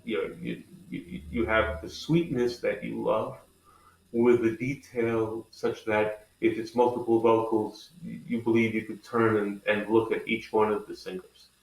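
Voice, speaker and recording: A man's voice: on the low side, flat delivery, a little slow, gravelly. A man speaking as an interviewed company president, explaining products conversationally and with enthusiasm. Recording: echoey, roomy sound.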